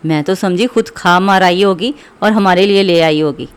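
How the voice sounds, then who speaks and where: A woman playing a quarrelsome, bossy older mother-in-law, scolding sharply in an animated moral story. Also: lowish voice, normal speed, clean tone, close to an everyday voice. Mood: irritated, nagging. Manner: sharp, nagging, commanding.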